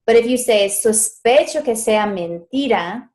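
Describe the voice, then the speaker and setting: A woman's voice: fairly high pitch, expressive, a little slow, a little mellow. A woman coaching students in a live online grammar lesson. She is chatty, often stops to correct herself and laughs at small mishaps. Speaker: Spanish interpreting coach.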